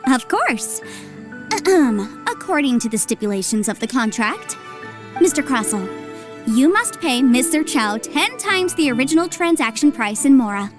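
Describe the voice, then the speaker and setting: Brief: young female legal adviser, game cutscene, high and lively, formal and assured. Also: normal speed, music in the background. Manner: crisp, formal, lawyerly phrasing. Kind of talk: video game cutscene voice acting.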